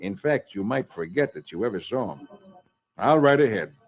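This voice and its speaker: A man's voice: fairly low pitch, animated, moderate pace, voice pushed down. A man playing a secretive, practical colonial American silversmith in 1940s radio style, confiding and businesslike. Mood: confiding, brisk. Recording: dull, noisy vintage radio recording.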